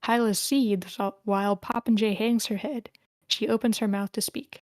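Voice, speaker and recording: A woman's voice: medium pitch, steady tone, normal speed, a little mellow, no room sound. A woman reading stage directions as narrator, in scripted narration at a screenplay table read.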